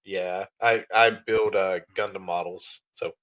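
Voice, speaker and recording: A man's voice: low-pitched, steady tone, a bit bright. A man co-hosting a podcast, chatting eagerly with frequent stops and restarts. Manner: enthusiastic, halting, with restarts. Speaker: podcast co-host. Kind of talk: casual podcast chat.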